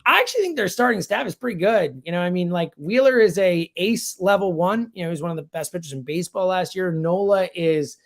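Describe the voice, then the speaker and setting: A man's voice: high voice, lively intonation, a little fast, vocal fry, few pauses. A man talks sports in a casual, slangy way, like a radio guest breaking down a team. He is blunt and opinionated, leaning hard on his points with plenty of emphasis. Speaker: sports radio producer and host, guest commentator. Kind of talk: casual sports talk analysis.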